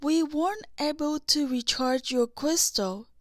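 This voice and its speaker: A woman voicing an earnest, soft-hearted young mountain man in a storybook. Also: sits high, unhurried, no echo, clean studio sound. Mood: sad.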